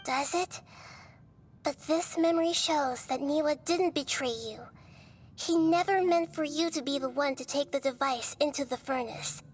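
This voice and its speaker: Woman acting a high-voiced, gentle wise guide. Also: recorded from a distance, a little hiss or hum, natural voice. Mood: calm, knowing. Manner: calm, measured explaining. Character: gentle, wise, composed girlish female guide. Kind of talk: video game voice acting.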